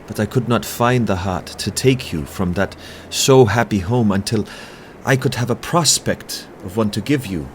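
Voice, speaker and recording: An actor playing a poor, gentle, lovelorn professor, humble and earnest, speaking haltingly in an audio drama. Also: male voice, lowish voice, slight background noise, close to an everyday voice.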